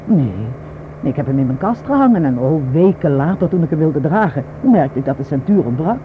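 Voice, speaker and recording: A woman's voice: sits low, expressive, brisk. An actress playing a concerned, thoughtful woman in a radio play, talking in a reflective, conversational way. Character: concerned, thoughtful woman friend back from travels. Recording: noisy, tinny narrow-band radio play recording.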